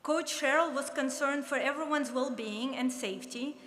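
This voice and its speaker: A woman's voice: high-pitched, normal speed, a bit bright, a little hiss or hum. A woman in her fifties with a Russian accent, earnestly reminiscing in a ceremony speech. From Soviet Union. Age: 50s. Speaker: former player giving an induction speech. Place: large hall at an awards ceremony. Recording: echoey hall with faint ambience.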